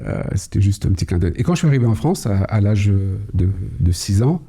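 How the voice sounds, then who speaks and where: A middle-aged man, native Kabyle speaker, telling his story in an interview, slow and measured with many hesitations. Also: on the low side, sing-song, mellow, slightly rough. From Algeria, Kabylie. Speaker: guest, cybersecurity head. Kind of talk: podcast interview.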